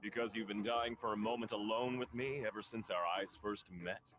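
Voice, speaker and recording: A man's voice: fairly low pitch, steady tone, moderate pace, a bit bright, close to an everyday voice. A man playing a wry, cocky but pragmatic escapee in a motion comic. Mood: flirtatious, teasing. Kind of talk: dramatic motion comic dialogue.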